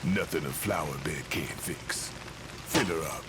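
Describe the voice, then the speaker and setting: An imposing, shady dealer in a video game, very deep and unflappable. He talks in laconic, coolly menacing phrases beneath loud rain-like noise. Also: measured pace. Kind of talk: video game cutscene voice acting.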